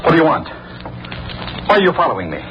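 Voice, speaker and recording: Haunted judge, dramatic and swinging in pitch. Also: male voice, huge pitch swings, quick pace, a little hiss or hum. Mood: frightened. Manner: dramatic, agitated. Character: guilt-ridden murderous judge, haunted and nervous. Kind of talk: 1940s radio mystery acting, first-person narration. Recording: muffled old radio recording with loud music.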